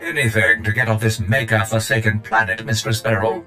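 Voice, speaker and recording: An actor playing a sarcastic, long-suffering servant droid in a video game, dry and put-upon. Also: male voice, fairly low pitch, completely flat delivery, a little fast, bright, forceful tone, soft background music. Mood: exasperated.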